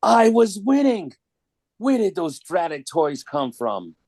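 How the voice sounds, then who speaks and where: A man's voice: high-pitched, big pitch swings, takes it slowly, darkish tone, no room sound, studio quality, heavily acted. A man voicing a gloating, petulant toymaker villain in a whiny, theatrical comic book read. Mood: petulant outrage.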